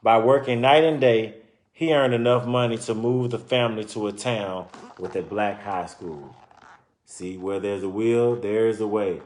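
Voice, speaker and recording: A man reading a children's book aloud and pausing to explain and comment informally to his listeners. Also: fairly low pitch, a little mellow. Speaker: reader presenting a children's book. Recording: roomy, echoing sound.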